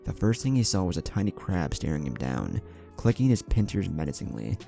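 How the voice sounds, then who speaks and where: A man's voice: deep voice, big pitch swings, a little fast, vocal fry, dark tone. A man reading an adventure story as a dramatic scripted narration. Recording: background music under the voice. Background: music.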